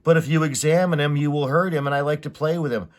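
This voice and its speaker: A man's voice: on the high side, steady tone, fast, lightly acted. A man voicing a playful giant child from a comic story, eager, coaxing and protective of a pet. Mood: protesting.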